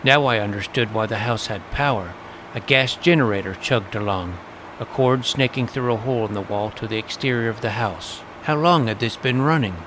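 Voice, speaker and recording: A man's voice: mid-range voice, moderate pace, lightly acted. A man playing a serious, imaginative twelve-year-old vampire hunter, narrating his own story in a dramatic, bookish way. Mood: earnest, suspenseful. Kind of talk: scripted first-person story narration. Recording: noisy ambient background.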